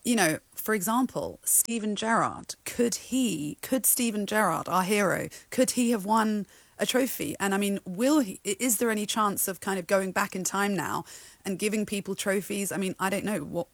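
A woman's voice: mid-range voice, normal speed, dry recording. A woman speaking as a guest in a casual radio discussion. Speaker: studio guest, football fan. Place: radio studio.

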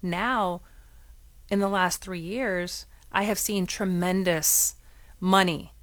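A woman's voice: on the low side, fairly even intonation, slow pace, a bit bright, no room sound. A woman on a radio talk show, explaining things earnestly and restarting her sentences often. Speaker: guest from a swim lesson nonprofit. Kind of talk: radio call-in discussion.

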